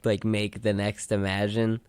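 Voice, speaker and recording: A man with a fairly low, clean and smooth voice talks casually as a radio co-host. He rambles through his stories in a loose, conversational way, recorded close and dry. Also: completely flat delivery, unhurried, darkish tone, no room sound. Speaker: co-host of a retrospective radio show. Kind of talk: casual chat.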